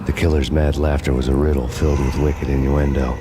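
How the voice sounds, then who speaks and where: An actor narrating as a brooding ex-cop in dry, bitter hard-boiled noir style. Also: male voice, very deep voice, flat delivery, fairly quick. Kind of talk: scripted noir voice-over. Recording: with loud game music.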